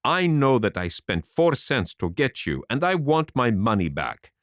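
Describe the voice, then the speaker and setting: A man doing a gruff, stingy buyer. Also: medium pitch, huge pitch swings, unhurried, no echo, studio quality. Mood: indignant. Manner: gruff, indignant. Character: grumpy stingy grown man, a drum-maker buyer.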